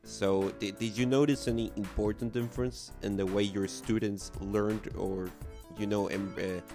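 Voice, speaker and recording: Hesitant low-voiced interviewer. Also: male voice, level tone, moderate pace, music in the background. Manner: hesitant, stumbling restarts while framing questions. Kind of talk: interview questions.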